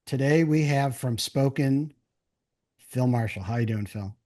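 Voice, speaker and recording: A man's voice: medium pitch, a little slow, mellow. A man hosting a casual interview show, talking informally and rephrasing his questions as he goes.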